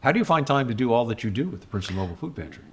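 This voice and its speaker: A middle-aged man hosting a podcast, asking questions in a relaxed way with fillers and rephrasing. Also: mid-range voice, rapid-fire, slightly rough. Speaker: podcast host. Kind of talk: casual interview questions.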